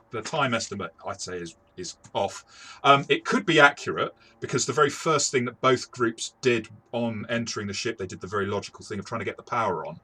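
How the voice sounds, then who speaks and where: Deep-voiced man, bright and stop-start. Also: breathy edge. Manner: hesitant, stop-start delivery with repeated words. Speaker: game master and reviewer. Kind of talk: casual tabletop game review discussion.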